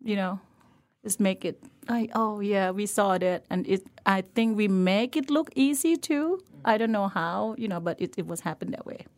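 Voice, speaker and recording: A middle-aged woman with a Thai accent speaks in a medium-pitched voice. She talks in halting, searching phrases, stopping to find the words. A light laugh slips in now and then. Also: darkish tone. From Thailand. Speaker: chef and restaurant owner. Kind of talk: casual interview chat.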